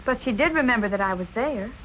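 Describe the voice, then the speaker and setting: A woman's voice: on the high side, expressive, quick pace, a bit bright, a little hiss or hum, rough recording. An actress playing an anxious, guarded young woman in 1940s film noir style, polite and earnest. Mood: guarded, nervous. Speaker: actor.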